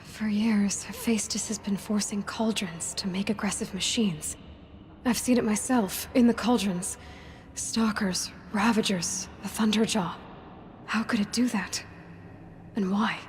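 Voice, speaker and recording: An actress plays a young female explorer in a video game, determined and full of questions. Her mid-pitched voice is earnest and a little breathy, with faint ambience behind it. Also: unhurried, slight background noise, lightly acted. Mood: puzzled, troubled. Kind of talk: video game dramatic dialogue.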